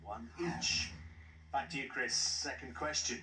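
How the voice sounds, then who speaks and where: A man hosting a television quiz show, jovial and quick to chuckle at the contestants. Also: medium pitch. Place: TV studio. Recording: echoey studio, mic at a distance, faint hiss.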